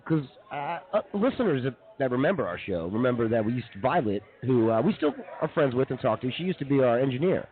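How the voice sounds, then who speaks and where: An American man co-hosts a comedy radio show with quick, casual banter. The recording is thin and phone-like. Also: medium pitch, sing-song. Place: live remote broadcast at a brewery. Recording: tinny, phone-like sound.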